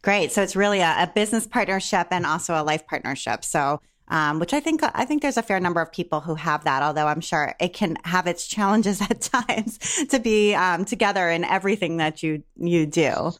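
A woman's voice: on the low side, lively intonation, brisk, few pauses. A woman from Maryland hosting a podcast, asking questions in a friendly, affirming way. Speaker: podcast host. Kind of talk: conversational interview.

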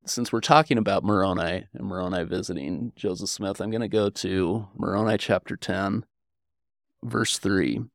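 American male interview guest, historian type. Gravelly low voice, earnest and conversational, dry close sound with faint music beneath. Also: normal speed, no room sound. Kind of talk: conversational explaining and personal storytelling.

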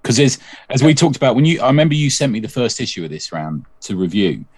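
A man's voice: mid-range voice, very animated, fast, darkish tone, slightly rough, no echo. A man co-hosting a podcast interview, chatty and often ending his points with tag questions. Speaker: podcast co-host.

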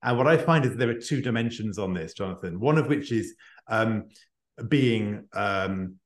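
A man's voice: lowish voice, animated, moderate pace. Man in an echoey room. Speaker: podcast guest, executive coach and author. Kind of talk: conversational interview. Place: indoor room, remote video call. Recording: echoey room on a remote call.

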